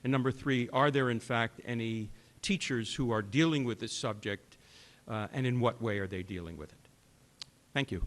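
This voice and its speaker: A man giving public comment at a town board meeting, speaking in a measured, formal way and laying out his points in order. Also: medium pitch, a bit bright. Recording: reverberant meeting room.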